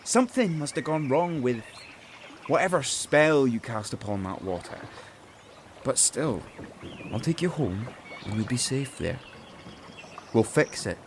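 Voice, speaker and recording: An actor playing a smooth-talking, deceitful man with a coaxing, reassuring manner in an audio drama. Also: medium pitch, animated, a little slow, a little hiss or hum. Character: smooth-talking mortal man turned godlike, evasive, deceitful. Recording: outdoor boat ambience behind voice.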